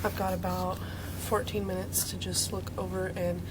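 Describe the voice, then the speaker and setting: A young woman, a university student, chatting casually in a vlog with a slightly hoarse voice, upbeat and rambling, filled with ums. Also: on the low side, level tone, normal speed, very noisy. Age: young adult. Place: outdoors on a campus, then an indoor study corner.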